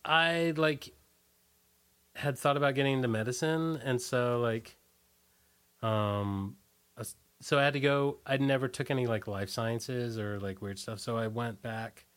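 Middle-aged American man, halting and informal. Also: medium pitch, steady tone, a bit bright. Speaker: interview guest, musician. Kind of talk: casual interview chat.

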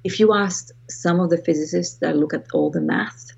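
A woman's voice: lowish voice, huge pitch swings, moderate pace, gravelly, a little mellow, breathy edge, lo-fi sound. A woman from Greece with a Greek accent, a guest author speaking reflectively in a podcast interview, often restarting her phrases.

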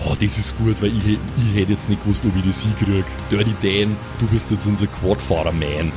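A man's voice: lowish voice, steady tone, a little fast, lightly acted. A man playing an easygoing, self-satisfied rural drinking buddy with a Bavarian accent in an improvised audio drama. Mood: casual, relieved. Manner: colloquial, self-satisfied, teasing. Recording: music bed behind the voice.

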